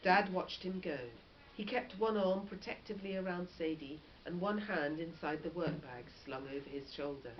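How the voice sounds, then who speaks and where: A woman's voice: on the low side, normal speed. A woman reading a children's story aloud expressively, like a teacher. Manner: animated, with short explanatory asides. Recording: dull lo-fi audio with faint noise.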